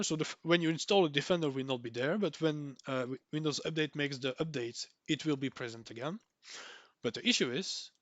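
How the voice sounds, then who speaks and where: A young man from Belgium whose first language is Dutch, talking through a software tutorial, repeating words for emphasis with many ums and restarts. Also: on the high side, dry recording. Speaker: tech tutorial creator. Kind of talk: screen-recorded software tutorial.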